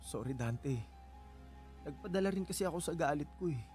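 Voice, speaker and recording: An actor playing a forgiving, principled husband in a Filipino radio drama, speaking earnestly. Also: male voice, a little high, animated, unhurried, a little mellow, faint music behind. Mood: apologetic.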